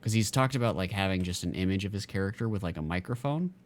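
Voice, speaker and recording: Deep-voiced man rambling casually. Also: animated, fast, slight background noise. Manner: rambling, lots of fillers and restarts. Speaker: game streamer. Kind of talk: casual chat while gaming.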